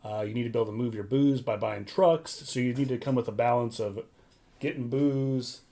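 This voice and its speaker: A man's voice: mid-range voice, a little fast, dark tone. Hesitant man in casual, slangy chat. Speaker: podcast panelist.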